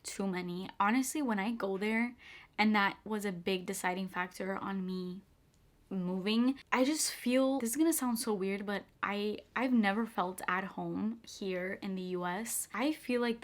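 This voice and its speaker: A young woman whose first language is Albanian talks through viewer questions in a relaxed vlog. Her speech is chatty and loose, with "like" dropped in constantly. She is recorded indoors at home on a camera mic. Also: medium pitch. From Albanian heritage. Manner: chatty, frequent "like" fillers. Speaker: beauty and perfume YouTuber. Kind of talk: casual get-ready-with-me Q&A chat.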